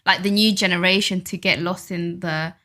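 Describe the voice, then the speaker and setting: Bright-voiced woman chatting casually. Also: lowish voice, fairly even intonation, moderate pace. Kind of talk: casual conversational chat.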